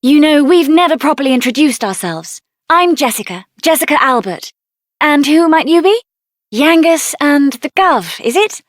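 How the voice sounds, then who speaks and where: A woman voicing a headstrong young female mage adventurer in a video game, with an assertive, sassy and expressive delivery. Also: high voice, moderate pace, no room sound, clean studio sound. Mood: friendly, brisk. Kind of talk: video game character voice acting.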